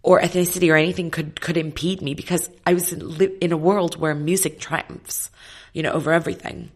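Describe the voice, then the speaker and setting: A woman in her thirties, an opera singer, talking as an interview guest. Her voice is slightly husky today, and she tells stories in a reflective, lively way with plenty of fillers. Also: low-pitched, a little fast. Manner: reflective, animated storytelling with many fillers. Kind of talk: casual interview chat.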